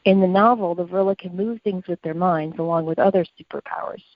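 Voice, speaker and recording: A woman with a fairly low voice tells a story as a podcast co-host, reading from prepared notes. She explains as she goes. The sound is close and dry, slightly dull. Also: steady tone, fairly quick, no room sound.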